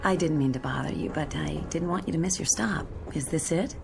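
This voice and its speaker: A woman's voice: deep voice, brisk, vocal fry, music in the background. A woman acting in a radio drama as a polite, chatty passenger on a train. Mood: courteous. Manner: polite, conversational.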